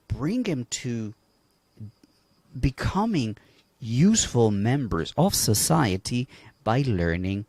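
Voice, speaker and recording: Man from Colombia, panel guest, slow and emphatic, lively rising voice, dry close sound. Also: medium pitch, dry recording. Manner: emphatic, rhetorical questions. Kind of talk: livestream panel discussion.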